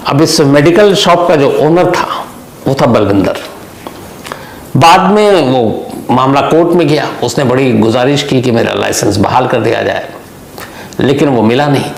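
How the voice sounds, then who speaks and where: Guy narrating crime stories, creaky mid-pitched voice, deliberate explainer style, faint noise behind. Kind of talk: unscripted crime storytelling. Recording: echoey room, faint background noise.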